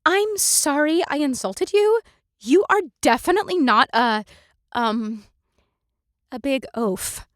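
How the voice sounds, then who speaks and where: A woman puts on an anxious young woman, her voice pitched high and bright. She stammers and fills gaps with ums and uhs, eager to get things right. Dry, close sound. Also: animated, slow pace, no echo. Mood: flustered. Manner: hesitant, stumbling with ums and uhs. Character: flustered young woman, nervous, eager to please.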